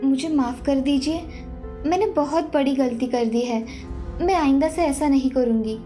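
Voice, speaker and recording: A woman voicing a brash, short-tempered young wife in an animated moral story. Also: high-pitched, moderate pace, soft background music, lightly acted. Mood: apologetic.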